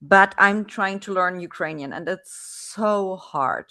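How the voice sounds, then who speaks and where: German-accented woman host, lowish voice, chatty over a video call, restarts often. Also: unhurried, pushed and bright. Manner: chatty, frequent restarts and fillers. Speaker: podcast host. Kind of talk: casual video-call interview.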